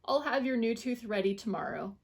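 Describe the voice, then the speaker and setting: A woman voicing a small, brave male mouse dentist who gives firm, brisk orders while reading a storybook aloud to children. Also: fairly high pitch, animated, slightly rough.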